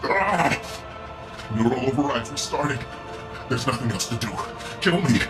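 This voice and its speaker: An actor playing a tormented, fatalistic cyborg agent in a video game, with a strained, clipped delivery. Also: male voice, medium pitch, animated, normal speed, music in the background, natural voice. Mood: agonized, desperate. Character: tormented cyborg agent turned against his will, grim, fatalistic.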